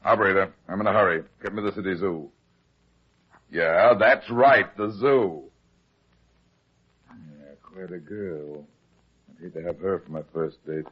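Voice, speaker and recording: An actor playing an unflappable, wry, heavyset private detective with an American accent in an old-time radio drama. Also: male voice, low-pitched, unhurried, long pauses, breathy edge. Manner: deadpan, terse, sardonic. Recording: dull, lo-fi old radio recording.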